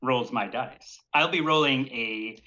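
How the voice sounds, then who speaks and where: A man's voice: mid-range voice, unhurried, sharp tone, muffled recording. A man narrating as dungeon master in a tabletop game stream, rambling with many fillers and chuckles. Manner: rambling, hesitant, frequent fillers and laughter.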